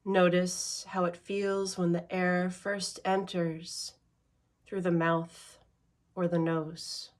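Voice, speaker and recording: Slow, low-voiced woman guiding meditation. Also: level tone. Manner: slow, measured, with long pauses. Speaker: meditation guide. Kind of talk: guided meditation.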